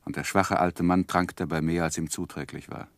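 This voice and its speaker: Deep grave guy telling his life story, old radio drama, very dry sound. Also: steady tone, no room sound. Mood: pained narration. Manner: grave, measured, literary. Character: earnest gentleman narrating his own life story. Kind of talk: 1960s radio drama narration.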